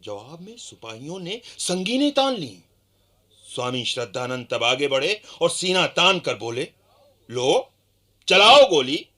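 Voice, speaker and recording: Earnest freedom-era Indian man, radio-play storyteller; raised pitch, very lively melody, emphatic delivery. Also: a little slow, exaggerated voice. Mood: solemn, proud. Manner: animated, emphatic, declamatory when quoting. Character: Banke Bihari, earnest Indian freedom-era traveller recounting peasant uprisings. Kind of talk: Hindi radio play dialogue, dramatic storytelling.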